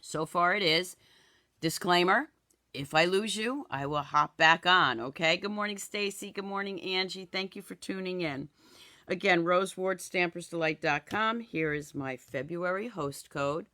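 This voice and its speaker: A woman in her fifties, hoarse and raspy from a cold, chatting through a live craft demo and greeting viewers by name in a home craft room. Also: lowish voice, moderate pace, bright, forceful tone. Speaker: craft product demonstrator. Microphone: camera in front, then overhead camera.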